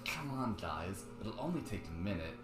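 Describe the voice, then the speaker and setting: Man doing an eager nerdy gargoyle kid, pleading, low voice, echoey room, music behind. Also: sing-song, normal speed.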